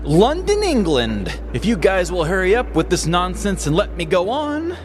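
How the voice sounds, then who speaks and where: A woman's voice: fairly low pitch, wildly swooping pitch, moderate pace, music in the background. A woman playing an impatient, defiant middle-aged male inventor at sea in a podcast story.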